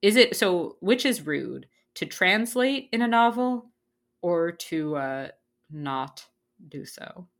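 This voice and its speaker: Low-voiced playful woman co-host. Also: sing-song, slow. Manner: playful, ironic, rambling with fillers and laughs. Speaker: podcast co-host. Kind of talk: casual chat.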